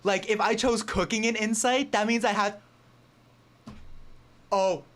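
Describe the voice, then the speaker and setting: A woman's voice: medium pitch, fairly even intonation, unhurried. A woman game streamer chatting casually and reacting live with gushing, slangy exclamations.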